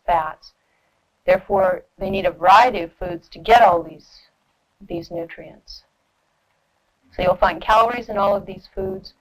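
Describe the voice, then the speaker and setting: A woman giving a halting, plain-spoken instructional explanation. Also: mid-range voice, rough recording. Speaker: nutrition explainer. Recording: 1970s educational film soundtrack.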